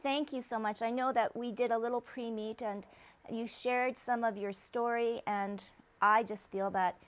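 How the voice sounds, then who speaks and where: Host: woman, slightly high voice, upbeat, welcoming interview manner. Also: fairly even intonation, normal speed. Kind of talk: interview hosting.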